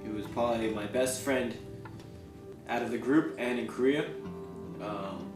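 A young man in his twenties answers in a relaxed, upbeat way. His pitch is ordinary. The mic sits at a distance in an echoey room. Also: unhurried, over music. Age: 20s. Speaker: exchange student. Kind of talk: interview answers.

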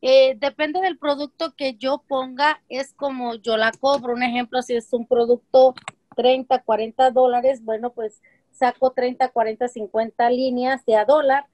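A woman's voice: high voice, fairly even intonation, a little slow, a little mellow. A woman in her forties, talking as a guest on a video call from home. She explains with lots of examples and fillers. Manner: chatty, explains with examples, many fillers like "este" and "eh". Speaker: guest, home-business seller. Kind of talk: casual interview over video call. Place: home room with shelves of colorful containers. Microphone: video call webcam.

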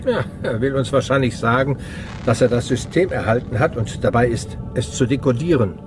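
A man playing a wry, sceptical space crew member in a radio play, with an expressive drawl. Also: mid-range voice, moderate pace, natural voice. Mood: wry, curious. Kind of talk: German radio drama acting. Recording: music bed behind the voice.

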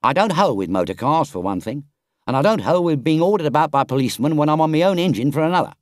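A man's voice: on the high side, expressive, fast, dry recording, studio quality, pitched above the performer's own voice, lightly acted. A man doing a kind-hearted engine driver. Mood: stern. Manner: earnest, urgent.

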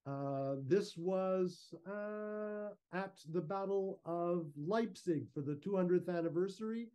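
Guy explaining his slides on a video call, soft mellow tone, voice a bit on the high side. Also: slow, clear, resonant tone. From Canada. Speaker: guest speaker, historical reenactor. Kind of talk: informal slide presentation. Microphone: video call microphone.

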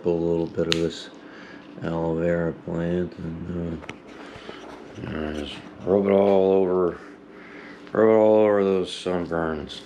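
A young man casually narrating his own vlog, relaxed and rambling with plenty of fillers, recorded on an action camera at home and outdoors by a lake. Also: low-pitched, slow pace, pauses often, noisy background. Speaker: vlogger. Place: apartment indoors, then outdoor park by a lake.

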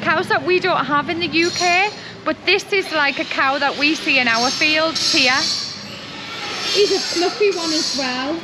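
A woman's voice: high voice, a little slow. A woman vlogging on a busy city street, chatting in a friendly, enthusiastic way. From England. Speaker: travel vlogger. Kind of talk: casual travel vlog chat. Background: loud traffic noise.

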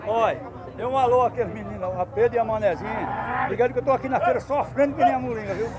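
A man's voice: very high voice, quick pace. A man with a Northeastern Brazilian accent, a cattle seller joking and sending greetings to friends at an outdoor fair. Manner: jokey, sends greetings. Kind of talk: casual chat. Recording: loud crowd around. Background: loud crowd.

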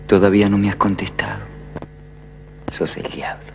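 An actor playing an earnest young country man with an Argentine accent, hushed and questioning in a 1970s film. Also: lowish voice, level tone, normal speed, lo-fi sound, faint music behind, natural voice. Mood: hushed, probing. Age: young adult.

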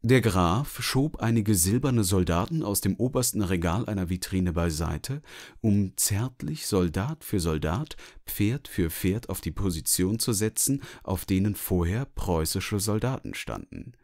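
A man's voice: deep voice, expressive, a little slow, a little mellow. A man giving scripted literary narration as the narrator of a story.

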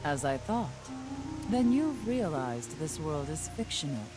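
An actress playing a composed, enigmatic company president in a video game, speaking formally and with measured poise. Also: female voice, low voice, big pitch swings. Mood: probing. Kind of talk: English game voice acting. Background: game music.

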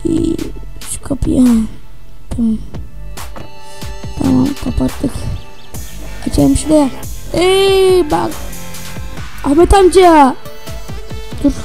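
An excitable video game commentator chatting casually for children, often repeating short phrases. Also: sits high, slow pace, vocal fry, pauses often, faint music behind. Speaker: gaming video host.

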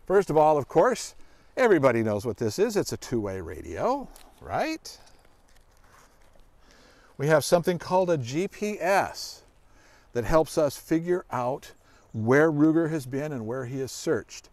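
Middle-aged man, slightly high voice, animated intonation, folksy explainer, casual children's demonstration. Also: a little slow, long pauses, no room sound. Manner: folksy, explanatory, asks the listener questions. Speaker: search dog handler and guest presenter. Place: outdoors in a grassy forest clearing.

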